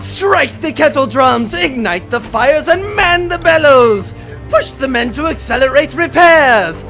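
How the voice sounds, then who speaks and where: A man's voice: very high-pitched, lively intonation, unhurried, sharp tone, faint music behind, pitched above the performer's own voice, full character voice. A man playing a grandiose, theatrical villain baron in a comic audio drama, declaiming like a pompous orator. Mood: grandiose, rousing.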